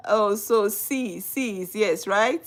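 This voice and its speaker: A woman's voice: mid-range voice, slow. Nigerian woman, young adult, earnest livestream host, rhetorical questions, chatty with viewers. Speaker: livestream host on legal topics. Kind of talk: live-stream talk answering viewer comments.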